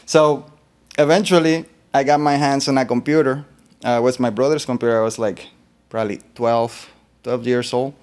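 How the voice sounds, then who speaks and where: A man from Colombia, a guest artist in an interview, talking in a relaxed, rambling way with fillers. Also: mid-range voice, normal speed, clean tone. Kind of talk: interview conversation.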